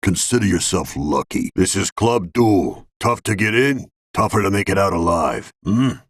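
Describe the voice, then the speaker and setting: A man's voice: low-pitched, expressive, normal speed, dry recording, clean studio sound. A man playing a menacing, scornful fight-club boss in a video game, intimidating and sneering. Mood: threatening, scornful. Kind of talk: video game character lines.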